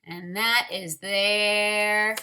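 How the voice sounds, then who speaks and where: A woman reading a picture book aloud to young children, expressively, with drawn-out words and wondering asides to them. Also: mid-range voice, fairly even intonation, pushed and bright, slight background noise. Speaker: storytime reader for young children.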